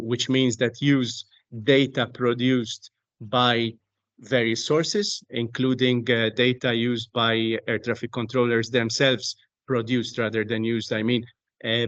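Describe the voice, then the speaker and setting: Man, low-pitched and close-sounding, deliberate and halting technical explainer, repeats words mid-phrase. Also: fairly bright tone, no echo, muffled recording. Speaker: aviation technology company expert, interview guest. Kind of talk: explanatory interview talk on a technical subject.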